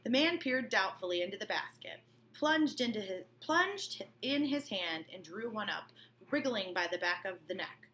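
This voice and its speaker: A woman's voice: medium pitch, lively intonation, normal speed, pushed and bright. A woman reading a novel aloud as a teacher, expressively, breaking off for informal explanatory asides. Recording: clear background room noise.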